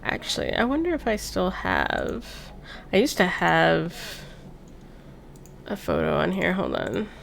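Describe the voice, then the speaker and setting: Casual woman in her thirties, lowish voice. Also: wildly swooping pitch, takes it slowly, gravelly. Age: 30s. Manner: informal, rambling, sweary. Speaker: streamer hosting a chat stream. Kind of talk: casual chat, personal storytelling. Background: background music.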